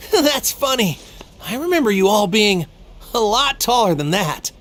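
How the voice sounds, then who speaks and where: A woman's voice: mid-range voice, sing-song, measured pace. A woman voicing a cheerful, naive young male martial artist in an anime game. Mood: amused. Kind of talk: English anime game voice acting.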